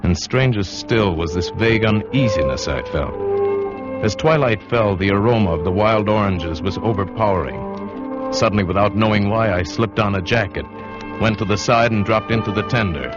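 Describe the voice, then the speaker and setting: A man acts out a romantic, uneasy seafarer from a 1940s radio play. His low voice is measured and literary, courteous yet brooding. The dull vintage broadcast carries music. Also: lightly acted. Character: thoughtful yachtsman adventurer, uneasy, romantic, courteous narrator-hero. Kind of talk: 1940s radio drama acting, first-person narration.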